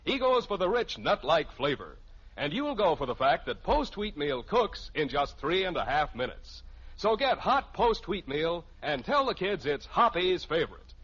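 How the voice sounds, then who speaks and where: A man's voice: fairly high pitch, sing-song, normal speed, fairly bright tone, slightly rough. An American man reading a scripted 1950s radio commercial. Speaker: commercial announcer. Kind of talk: 1950s radio advertising pitch. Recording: muffled old radio recording.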